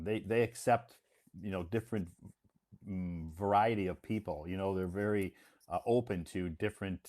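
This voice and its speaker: Reassuring American man, low voice. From United States. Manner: relaxed, reassuring, repeats himself often. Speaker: English teacher and host. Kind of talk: casual conversation lesson.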